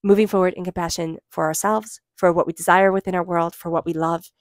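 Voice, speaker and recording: Low-voiced woman giving a tarot reading. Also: steady tone, fast, no room sound, clean studio sound. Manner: gentle, reflective, with many fillers. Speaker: tarot reader.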